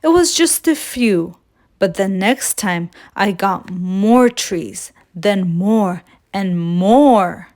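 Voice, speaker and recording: A woman's voice: medium pitch, expressive, very slow, darkish tone. Woman acting male storybook truck, easygoing, folksy, helpful, encouraging. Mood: folksy, reflective.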